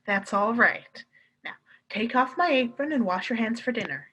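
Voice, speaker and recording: A woman acting in a live radio play as a brisk, firm but kindly wife who runs a cinema. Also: fairly high pitch, expressive, sharp tone, muffled recording, full character voice. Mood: gentle, wry. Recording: clearly noisy streamed audio, clicks.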